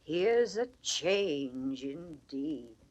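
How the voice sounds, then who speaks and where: A woman's voice: low voice, expressive, very slow, a little mellow, highs cut off, full character voice. A woman playing a blunt, fiercely loyal waiting-woman in a classical Shakespearean drama, with emphatic, declamatory delivery. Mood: anxious concern. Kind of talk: classical Shakespearean stage acting on record.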